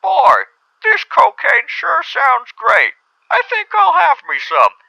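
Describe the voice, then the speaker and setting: A man voicing an excitable, naive young in a gee-whiz 1950s radio advert parody. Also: extremely high pitch, slow pace, gravelly, dry recording, voice pushed up, full character voice. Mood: eager, amazed. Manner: gee-whiz, over-eager. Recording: dull vintage radio sound.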